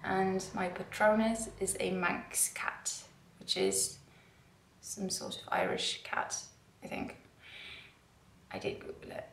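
A woman's voice: medium pitch, steady tone, takes it slowly, a little hiss or hum. A young Norwegian woman in her twenties, speaking English with a British-tinged accent, chatting to camera in a giggly, self-deprecating way as a knitwear designer. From Norway. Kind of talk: casual chat to camera. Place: dim living room, indoors. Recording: roomy living-room echo.

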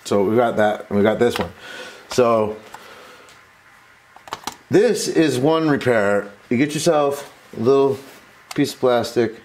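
A man's voice: mid-range voice, unhurried, long pauses. A man giving a casual hands-on tutorial, rambling and interrupting himself as he goes. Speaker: host of a repair tutorial. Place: indoor workbench. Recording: noticeable steady background noise.

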